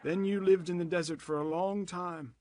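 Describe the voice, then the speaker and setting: An actor playing an aged leader of Israel, grave and exhorting, in a dramatized scripture reading. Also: male voice, high voice, steady tone, normal speed, darkish tone, natural voice. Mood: solemn, declaring. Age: older. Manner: grave, declamatory, emphatic.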